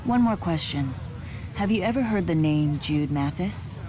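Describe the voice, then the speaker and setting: A woman's voice: lowish voice, expressive, unhurried, noisy background. An actress playing a cool, composed woman agent with a dry, analytical manner in a video game.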